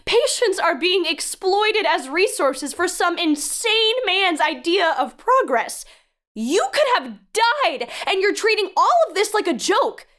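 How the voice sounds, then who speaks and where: A woman's voice: very high-pitched, sing-song, a little slow, a bit bright, full character voice. A woman acting as an earnest, no-nonsense guide in an audio drama, speaking with urgent, stern emphasis. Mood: outraged, scolding. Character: earnest, no-nonsense woman guiding a reckless youngster.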